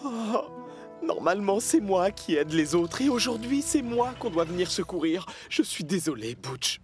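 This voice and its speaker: A man's voice: high voice, sing-song, normal speed, faint music behind. A man voicing an eager, boastful but easily discouraged fire engine in a French cartoon dub, with a theatrical delivery. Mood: dejected. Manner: theatrical, swinging between bragging and sulking.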